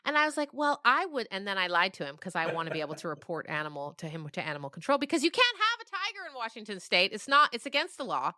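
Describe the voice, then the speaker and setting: A woman's voice: mid-range voice, sing-song, quick pace, hardly any pauses, pushed and bright, dry recording, clean studio sound. A woman chatting and telling anecdotes in a dramatic, emphatic conversational style. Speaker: podcast guest, children's writer. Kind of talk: animated conversational storytelling.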